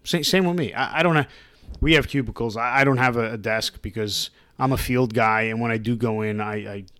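A man co-hosting a podcast in casual chat, with a loud voice and a jokey, chatty way of talking. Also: medium pitch, fairly quick, smooth. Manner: jokey and chatty, laughs often. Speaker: podcast co-host.

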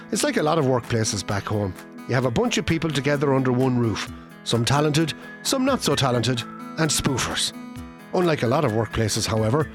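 An actor playing a wry, self-assured former radio boss with an Irish accent, narrating a comic radio play. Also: male voice, medium pitch, lightly acted.

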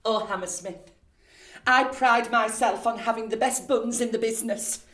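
A theatrical pantomime dame of middle age, pitched very high and projected hard. She pleads in drawn-out, exaggerated phrases, full of self-pity and earnest grandeur. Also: fairly even intonation, a bit bright, echoey room. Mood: mock sorrow. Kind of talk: broad pantomime stage acting.

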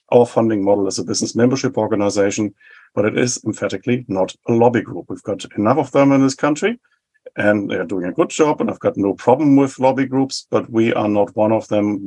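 German-accented man, forties, husky, lowish voice, measured explainer. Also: a little mellow, rough recording. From West Germany. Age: 40s. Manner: measured, explanatory, rhetorical lists. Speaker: think tank head, guest. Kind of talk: interview answers.

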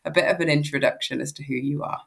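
A woman's voice: deep voice, fairly even intonation, fast, gravelly. A woman hosting a podcast interview, asking polished, friendly questions. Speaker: host.

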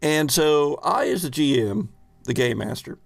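Slow, creaky-voiced man as game master. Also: fairly high pitch, animated, dry recording. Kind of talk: improvised tabletop game narration.

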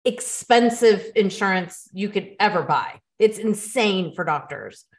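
A woman's voice: medium pitch, animated, a little slow. An American woman chatting casually as a podcast co-host, blunt and opinionated in how she talks. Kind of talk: casual chat.

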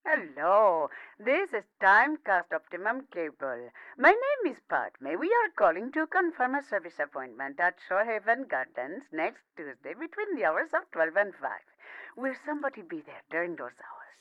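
A dull, dry phone sound carries a courteous female cable representative. Her pitch rises and falls dramatically as she recites her lines with literal-minded politeness. It is comic audio drama. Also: wildly swooping pitch, normal speed, no echo. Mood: brisk courtesy. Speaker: customer service representative. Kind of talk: comedic audio drama acting.

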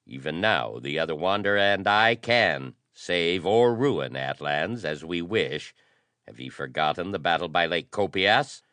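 A bold adventure-story pilot, played by a male narrator, speaking in deep, authoritative tones. His delivery is forceful and deliberate, made to command a hostile room. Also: animated, no room sound, exaggerated voice. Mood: defiant, commanding. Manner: commanding, firm. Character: bold, authoritative male American aviator defying hostile princes.

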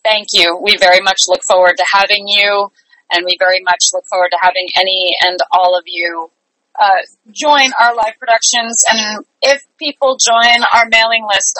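Bright-voiced woman, earnest interview guest. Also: medium pitch, fairly even intonation, moderate pace, no room sound, low-quality recording. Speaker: interview guest, theatre artistic director. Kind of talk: conversational interview.

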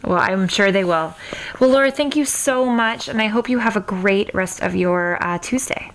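A woman's voice: medium pitch, moderate pace, a little hiss or hum, low-quality recording. A woman hosting a podcast in a casual, chatty and effusive way. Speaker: podcast host. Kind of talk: casual interview and podcast sign-off.

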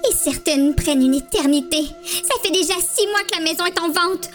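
A woman's voice: very high voice, normal speed, a bit bright, faint music behind. A woman playing an exasperated, sulky schoolgirl complaining dramatically in an amateur horror audio drama. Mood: sulky, sarcastic. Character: exasperated schoolgirl sulking at her mother.

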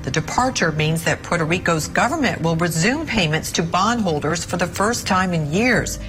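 A woman delivers a broadcast news report in crisp newsreader style. Her voice sits low. A clear music bed runs underneath. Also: animated, unhurried.

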